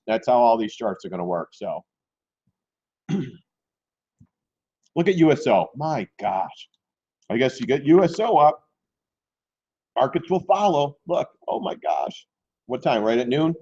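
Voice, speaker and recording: A man hosting a live stock trading stream in casual chat, hoarse and losing his voice, clearing his throat often. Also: mid-range voice, darkish tone. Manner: keeps clearing his throat, chatty and informal. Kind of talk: casual live market commentary. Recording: dull lo-fi stream audio.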